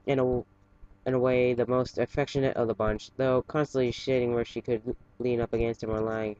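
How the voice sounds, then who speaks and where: Low-voiced man reading, dull lo-fi recording. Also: mellow. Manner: informal, stumbles over words. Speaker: fan fiction reader for an online channel. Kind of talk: amateur story reading with casual asides.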